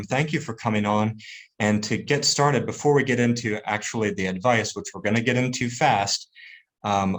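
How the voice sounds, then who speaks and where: A man hosting an interview, introducing his guest with an enthusiastic, welcoming manner. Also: fairly low pitch. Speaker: host. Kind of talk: podcast-style interview introduction.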